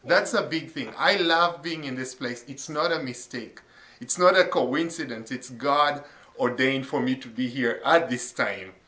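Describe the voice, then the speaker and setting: A man's voice: medium pitch, a little slow, reverberant, lo-fi sound. A man from Uganda in his thirties, an associate pastor telling his story earnestly, with many ums and uhs. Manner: earnest, warm testimony with frequent fillers. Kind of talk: faith interview.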